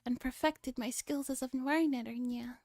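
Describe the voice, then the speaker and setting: A woman puts on a playful young catgirl maid for a visual novel. The voice is high and mellow, with cutesy, theatrical phrasing and little nya tics. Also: steady tone, normal speed. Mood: melodramatic.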